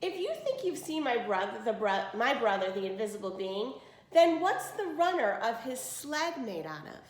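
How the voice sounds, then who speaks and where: A woman's voice: fairly high pitch, sing-song, normal speed. A woman voicing a stern, shrewd sister who guards her brother in a storybook, questioning firmly and commanding. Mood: probing. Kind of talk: storybook read-aloud. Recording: roomy, echoey indoor sound.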